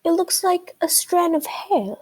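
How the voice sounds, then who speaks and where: A woman's voice: extremely high pitch, lively intonation, unhurried, darkish tone, pitched above the performer's own voice. A woman voicing a fussy, emphatic owl in a children's storybook, often questioning. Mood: emphatic, surprised. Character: fussy, emphatic owl witness at a cake contest.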